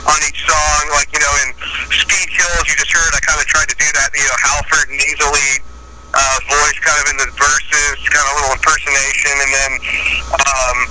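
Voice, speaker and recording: A man's voice: fairly high pitch, flat delivery, moderate pace. A man who sings in a metal band, talking casually in an interview and rambling with many "you know"s and "like"s. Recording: loud background noise.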